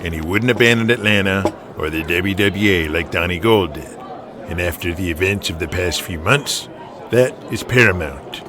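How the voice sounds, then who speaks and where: A man voicing an older, authoritative wrestling alliance president in an audio drama, weary, measured and deliberate. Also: low voice, crowd noise, natural voice. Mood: commanding.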